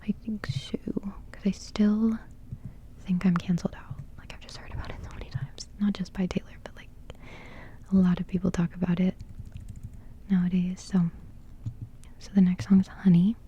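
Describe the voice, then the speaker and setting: Soft-whispering young woman, giggly. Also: fairly low pitch, little pitch movement, moderate pace, long pauses. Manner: soft whisper, giggly, rambling with many fillers. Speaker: ASMR creator reviewing music. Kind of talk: whispered ASMR music reaction.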